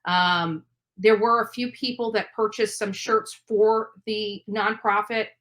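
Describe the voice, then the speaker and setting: A woman's voice: medium pitch, fairly even intonation, slow pace, fairly bright tone. A woman hosting an informal live stream commentary, speaking emphatically with an aggrieved edge and repeating phrases to press her point. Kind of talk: informal live commentary on a true crime case.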